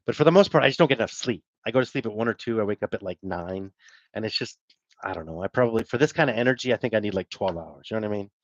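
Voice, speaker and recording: A man's voice: lowish voice, lively intonation, very fast, no room sound, dull audio. A middle-aged man hosting a livestream, chatting casually and rambling as he answers viewer comments. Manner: rambling, self-deprecating, with fillers and laughs. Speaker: livestream host.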